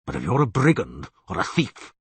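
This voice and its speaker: A man voicing a huge, blustering, irascible bear-headed monster in a gruff, raspy storybook reading. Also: deep voice, wildly swooping pitch, moderate pace, no room sound, exaggerated voice. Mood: grudging. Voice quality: raspy, roaring. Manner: blustering, indignant, theatrical.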